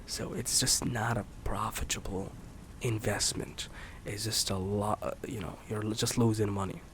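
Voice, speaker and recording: Soft-spoken young man with clear background noise. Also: fairly low pitch, fairly even intonation, moderate pace. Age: 20s. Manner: candid, swears casually, many fillers and restarts; drifts between soft speech and whisper. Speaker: streamer. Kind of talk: soft-spoken rambling vlog.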